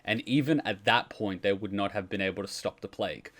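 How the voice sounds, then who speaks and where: A man's voice: lowish voice, fairly quick, a bit bright. A man hosting a reaction stream, giving casual explanatory commentary. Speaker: host of a game lore reaction stream.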